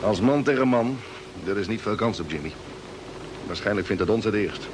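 An actor playing a steady, resolute expedition commander in an old Dutch radio drama, explaining things patiently and firmly. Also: male voice, lowish voice, moderate pace, close to an everyday voice. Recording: noisy old radio recording with ambience.

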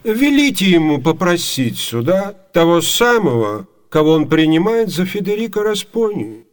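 A man playing a fussy, pompous, placating elderly gentleman in a theatrical comic radio play. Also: fairly high pitch, big pitch swings, normal speed. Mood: flustered, placating.

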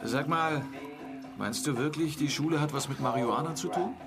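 An actor playing a blunt, teasing old school friend in a naturalistic German radio crime drama, with a dismissive manner. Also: male voice, fairly high pitch, moderate pace, lightly acted. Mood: sceptical, blunt. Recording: music under the dialogue.

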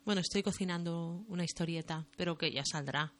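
Soft-spoken woman singer, thoughtful. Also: lowish voice, a little fast. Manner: thoughtful, searching for words, soft. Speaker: singer, interview guest. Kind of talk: relaxed radio interview.

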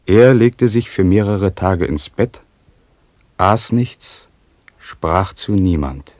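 An actor playing a grave, sober chronicler, narrating deliberately in a radio play. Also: male voice, deep voice, lively intonation, darkish tone, no echo. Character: sober, grave chronicler of a troubled poet's life.

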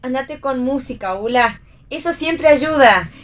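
A somewhat high female voice as a breezy friend in a radio play. She speaks frankly, in read-theatre style. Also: lively intonation, a little slow.

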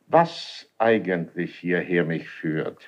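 An actor playing a proud, calculating duke in a classical radio play, formal and smoothly deferential. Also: male voice, deep voice, slow pace. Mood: politely needling. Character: proud, courtly, calculating Spanish duke, seasoned general. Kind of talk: classical German radio play stage acting. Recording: dull 1970s radio drama recording.

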